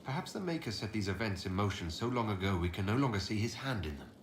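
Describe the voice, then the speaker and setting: A man's voice: lowish voice, big pitch swings, quick pace, echoey room, a little hiss or hum. An actor playing a resolute, courteous male leader in a video game, speaking in a composed and formal way. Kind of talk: scripted video game dialogue.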